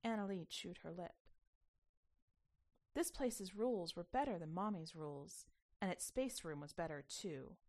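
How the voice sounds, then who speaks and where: A woman reading a short story aloud as an expressive, emphatic narrator with a sense of childlike wonder. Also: fairly low pitch, a little slow.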